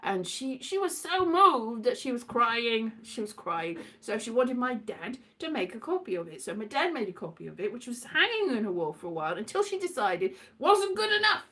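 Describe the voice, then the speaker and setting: A woman's voice: fairly high pitch, sing-song, moderate pace, few pauses, sharp tone. A woman giving a rambling, casual comic monologue with a theatrical, wry, exasperated manner, slipping into sing-song and mimicked exchanges. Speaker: solo performer of a radio play monologue.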